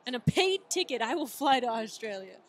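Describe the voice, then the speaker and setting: A woman co-hosting a casual sports show in English, her second language, playful and quick to push back. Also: fairly high pitch, big pitch swings. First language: not English. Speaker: co-host.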